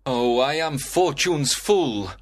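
A man's voice: mid-range voice, expressive, slow. A man playing a grieving young lover turned avenger, brooding and dramatic, in an audiobook tragedy. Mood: despairing.

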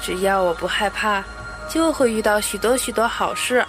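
A woman playing a brave, gentle, innocent little boy prince in a Chinese audio drama. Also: medium pitch, moderate pace, over music, lightly acted. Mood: earnest, innocent.

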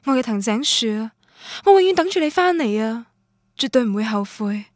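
A woman's voice: fairly high pitch, lively intonation, brisk, no room sound, studio quality, natural voice. An actress with a Cantonese accent playing a romantic, stubborn young woman who tells her love story in a radio drama. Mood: tender, longing. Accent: Cantonese, Guangdong. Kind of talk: radio drama narration and dialogue, introspective first-person.

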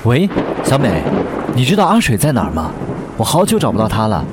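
A man's voice: medium pitch, huge pitch swings, a little fast, very noisy. A man acting an eager, earnest young aspiring singer in a radio drama. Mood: anxious. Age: young adult. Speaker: actor in an audio drama.

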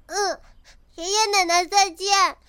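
Very high, sweet little girl. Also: steady tone, takes it slowly, a bit bright, full character voice. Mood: polite, caring. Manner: childlike, cute, simple phrasing. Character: sweet, polite little girl, eager and affectionate. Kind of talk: modern Chinese audio drama acting.

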